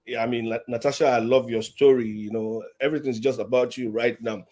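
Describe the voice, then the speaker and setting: A man's voice: mid-range voice, fairly even intonation, a little fast. Man hosting a live-stream interview. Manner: warm-up chatter, repeats words, reads audience comments. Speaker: host.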